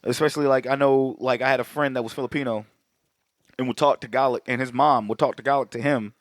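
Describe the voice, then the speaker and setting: A man's voice: mid-range voice, fairly even intonation, fast, dry recording, studio quality. A young man co-hosting a podcast, rambling in casual chat with many restarts. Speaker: podcast co-host.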